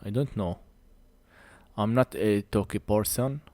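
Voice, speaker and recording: A man who speaks English as a second language gives a hands-on repair tutorial in halting short phrases, a little short of breath. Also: lowish voice, mellow, no room sound. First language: not English. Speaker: phone repair technician presenting a tutorial.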